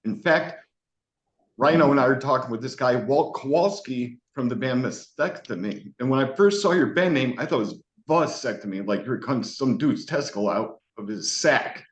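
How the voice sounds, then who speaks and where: A man's voice: mid-range voice, animated, moderate pace. Profane loose-talking male interview host. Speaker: podcast host. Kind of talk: casual interview chat. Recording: roomy, dull lo-fi audio.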